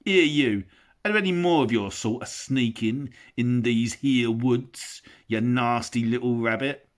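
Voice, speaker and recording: Bert, a man doing a loud bullying troll. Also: mid-range voice, unhurried, pitched above the performer's own voice, close to an everyday voice. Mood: menacing. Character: mean quarrelsome male troll, suspicious and cruel. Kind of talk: audiobook character voice.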